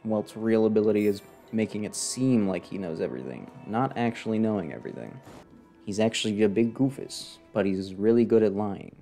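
A young man streaming a game with sarcastic, deadpan and exasperated commentary, close on a desk microphone. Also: lowish voice, fairly quick, gravelly, soft background music. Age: young adult. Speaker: streamer playing and reacting to a game. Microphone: desk microphone close up, with headset.

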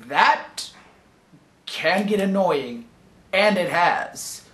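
A young man who has lost his voice, talking hoarsely and raspily in a casual video diary from a hotel room. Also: high voice, lively intonation, very slow, fairly bright tone, echoey room. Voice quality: raspy. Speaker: vlogger. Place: dim hotel room, indoors.

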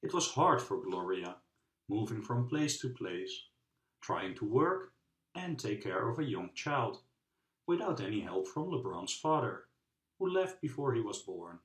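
A man reads a children's storybook aloud in a lively, swooping delivery. He phrases it in measured pieces with dramatic pauses. The room adds a noticeable echo. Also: lowish voice. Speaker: storybook reader. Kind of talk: children's book read-aloud narration. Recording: roomy, echoing sound.

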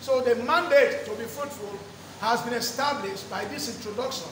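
A middle-aged man preaching in a very high voice, stretching out key words and repeating phrases for emphasis. His voice reaches the mic from a distance and rings around a roomy church hall. A steady background noise sits under it. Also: normal speed. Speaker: pastor. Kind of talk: sermon. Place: church hall with draped walls. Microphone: lectern microphone.

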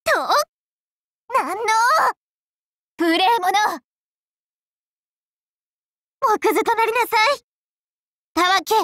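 A woman's voice: extremely high pitch, slow pace, sharp tone, no echo, studio quality, full character voice. A woman playing a young, sweet, clingy dragon princess in a mobile game, very polite and girlish with theatrical, coquettish delivery. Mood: spirited battle cries. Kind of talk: Japanese mobile game character voice acting.

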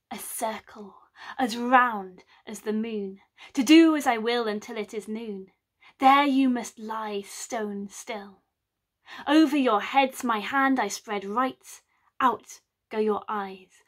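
A woman's voice: on the high side, slow. A woman voicing a cocky, lean male thief who fakes an overblown posh southern English accent, swaggering and sly. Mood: whispered spell. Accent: put-on posh southern English.

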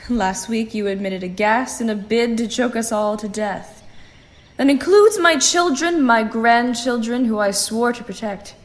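A woman's voice: fairly high pitch, measured pace, natural voice. A woman playing an older, unforgiving grandmother in a sci-fi audio drama, with a stern, emphatic delivery. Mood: bitter, stern. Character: stern, unforgiving grandmother guarding her family.

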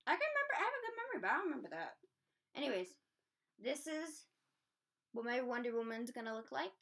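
A woman's voice: sits high, very animated, fast talker, a bit bright. A woman of about twenty chatting while dyeing eggs, dry and a bit bossy, swearing casually, on a camera mic in a small bedroom. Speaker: co-host.

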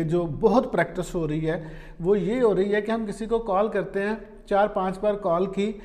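A man's voice: sits high, a little mellow. A man in his forties with a Pakistani accent gives informal advice to camera in a conversational, explanatory way, mixing in English words. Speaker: vlogger, journalist and columnist. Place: small quiet room indoors, at a desk.

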